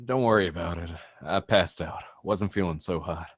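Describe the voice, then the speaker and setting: Male actor as a troubled, unraveling man, guilt-ridden, low-pitched, closely recorded drama read. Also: normal speed, slightly rough, dry recording, studio quality. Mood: evasive, casual. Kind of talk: screenplay table read.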